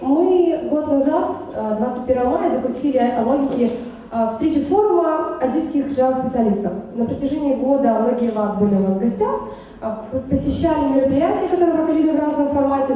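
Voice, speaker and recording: Fast-talking woman host in echoey hall. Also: high voice, warm tone. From Ukraine. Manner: quick, hesitant, many filler sounds. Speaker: event organizer and host. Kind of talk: conference opening remarks. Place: large conference hall. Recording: echoey hall, distant mic, faint noise.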